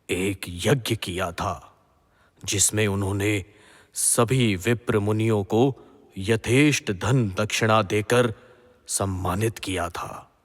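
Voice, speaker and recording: Man acting deep, slow, husky sage. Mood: instructive. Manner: solemn, measured, authoritative blessing. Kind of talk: devotional mythological audio drama acting. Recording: long reverberant hall echo.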